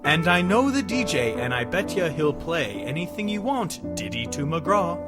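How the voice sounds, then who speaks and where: A man's voice: on the high side, sing-song, normal speed, over loud music, pitched above the performer's own voice. A man giving a mock dramatic reading of song lyrics as a swaggering, flirtatious partier, theatrical and emphatic. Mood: swaggering, seductive.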